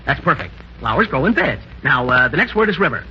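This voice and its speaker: An actor playing an excitable, fussy psychiatrist in a 1940s radio comedy, theatrical and emphatic, on a muffled old recording. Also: male voice, mid-range voice, fast, a little hiss or hum. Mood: eager, fussy. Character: excitable, fussy male psychiatrist, eager then exasperated. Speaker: supporting comic actor.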